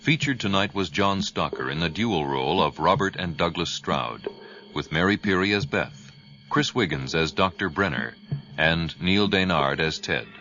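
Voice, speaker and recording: A man announcing as a formal, measured radio drama announcer, reading scripted closing credits. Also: low voice, expressive, sharp tone. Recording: slightly dull radio broadcast recording. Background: music.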